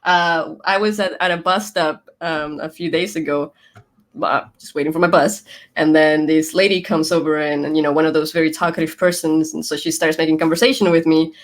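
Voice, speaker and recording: Young woman guest with a light Venezuelan accent, Spanish native, chatty and quick to laugh as she tells stories. Casual, rambling interview talk. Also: deep voice, steady tone. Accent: Venezuelan Spanish, softened. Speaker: guest, YouTuber.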